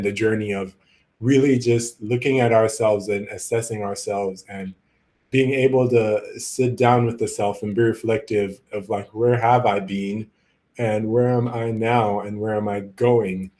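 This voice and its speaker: A man from Malawi, a native Chichewa speaker, giving earnest, reflective interview answers in a halting, conversational way. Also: lowish voice, a little mellow. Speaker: interview guest, musician and visual artist. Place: his art studio, on a video call.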